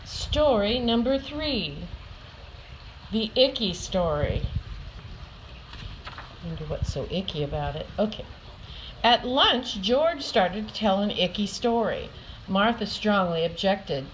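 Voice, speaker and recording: An older woman reads a children's story aloud. She uses deliberate, dramatic emphasis suited to young listeners, at a middling pitch. There is faint noise behind her voice. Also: animated, rough recording. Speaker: storyteller reading a picture book aloud.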